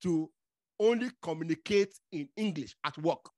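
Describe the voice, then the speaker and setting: A man's voice: on the high side, moderate pace, dark tone, dry recording, studio quality. A man from Nigeria whose first language is Igbo, hosting a podcast, who interrupts, repeats words and keeps checking with "okay?". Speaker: podcast host.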